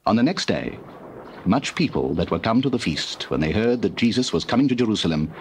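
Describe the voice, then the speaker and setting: Lowish, expressive male scripture narrator. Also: fairly quick, a little hiss or hum. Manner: formal, solemn storytelling.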